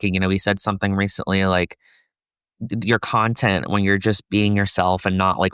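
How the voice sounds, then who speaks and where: A soft-spoken young man talking casually as a guest, his low voice smooth and clear. He keeps a fairly even tone, dotting his speech with "like" and "you know." The sound is close and dry. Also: no echo. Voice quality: soft, somewhat feminine voice. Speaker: podcast guest, content creator. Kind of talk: casual conversational interview.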